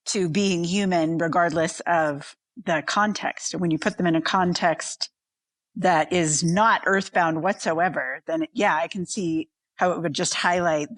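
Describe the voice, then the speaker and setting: Woman on a podcast, real slow and thoughtful, lowish voice. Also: no room sound. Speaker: podcast panelist. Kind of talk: casual, reflective discussion.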